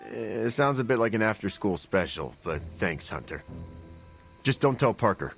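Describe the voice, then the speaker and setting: A guarded antihero bonded to a symbiote, talks low and gravelly in a video game. The delivery is casual and wry, with self-deprecating candor. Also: male voice, expressive, normal speed, faint music behind. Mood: candid, guarded. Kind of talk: conversational video game acting.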